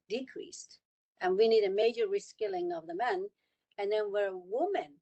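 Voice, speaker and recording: A woman's voice: mid-range voice, a little mellow, low-quality recording. Woman from Iran, panelist on a video call, earnest, hammers points home by repeating words. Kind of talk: panel discussion remarks.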